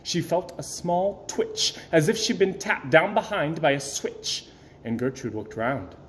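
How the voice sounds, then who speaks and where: A man performs an expressive read-aloud for children. His delivery is theatrical and very animated in pitch, leaning on key words and pausing for effect. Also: slight background noise. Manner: theatrical, dramatic emphasis and pauses. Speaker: storybook reader.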